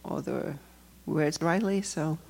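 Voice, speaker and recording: A woman from Finland with a Finnish accent, speaking English as a second language and giving a personal testimony in a careful, hesitant way with frequent pauses. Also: low voice, steady tone, a little mellow. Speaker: church member giving testimony.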